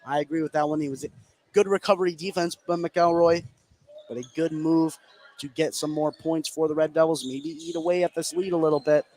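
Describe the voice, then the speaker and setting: A man's voice: fairly high pitch, steady tone, fairly quick, darkish tone. An American man giving live play-by-play radio commentary on a basketball game. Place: indoor high school gym during a game. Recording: clear background gym noise.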